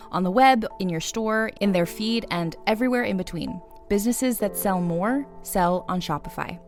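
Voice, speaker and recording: A woman delivering a scripted podcast sponsor read. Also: mid-range voice. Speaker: podcast network ad reader.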